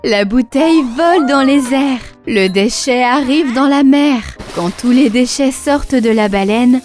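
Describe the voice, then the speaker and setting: A storyteller narrating a scripted children's cartoon in expressive, sing-song rhymes. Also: high voice, measured pace. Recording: music behind.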